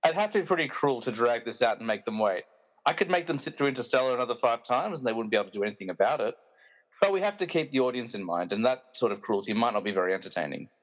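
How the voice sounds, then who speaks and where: A man's voice: mid-range voice, animated, fast talker, low-quality recording. A man narrating a scripted comic radio play with wry, self-aware delivery.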